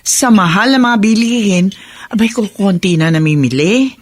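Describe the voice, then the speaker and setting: A woman's voice: mid-range voice, animated, normal speed, natural voice. A woman playing a hardworking, indulgent mother, earnest and placating, in radio drama style. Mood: concerned, pleading. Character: hardworking, patient, indulgent market-vendor mother. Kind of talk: Filipino radio drama acting.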